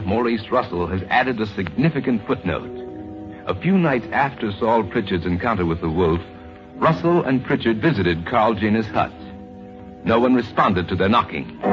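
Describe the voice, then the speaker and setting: A man's voice: fairly low pitch, lively intonation, normal speed. A man narrating a scripted old-time radio drama in a grave, theatrical manner. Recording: dull lo-fi old radio recording, music. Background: dramatic music.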